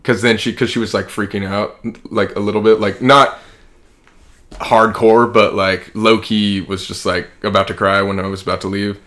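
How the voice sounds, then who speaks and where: Low-pitched young American man, chatting casually. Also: little pitch movement, moderate pace, a bit bright. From Kansas, United States. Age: early 20s. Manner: rambling, informal, heavy use of "like". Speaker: podcast co-host. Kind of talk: casual reflective chat.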